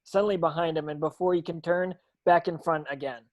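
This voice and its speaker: A man's voice: on the high side, fairly even intonation, a little fast. A man narrating stage directions from a script at a table read, sometimes tripping over words.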